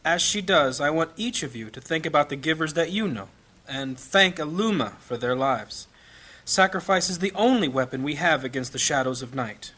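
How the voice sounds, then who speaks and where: A man playing a grave, compassionate priest in a fantasy audio drama, with a measured, rhetorical delivery. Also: on the high side, lively intonation, sharp tone. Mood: impassioned. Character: grave compassionate priest leading a memorial service. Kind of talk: fantasy audio drama acting, solemn sermon.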